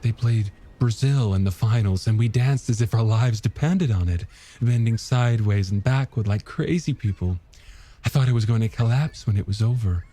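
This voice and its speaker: A man's voice: fairly low pitch, normal speed, warm tone, natural voice. A man voicing a wistful, nostalgic married woman in an audiobook, reminiscing with some hesitation. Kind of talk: audiobook character dialogue.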